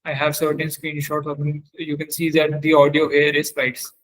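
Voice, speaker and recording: A man teaching in a webinar, demonstrating software step by step and often halting to search for words. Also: a little high, completely flat delivery, rough recording. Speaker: webinar trainer.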